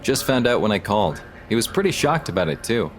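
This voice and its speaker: An actor playing a terse, understated police detective in audio drama dialogue. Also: male voice, lowish voice, big pitch swings, brisk, faint music behind. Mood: businesslike. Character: male police detective working a murder case.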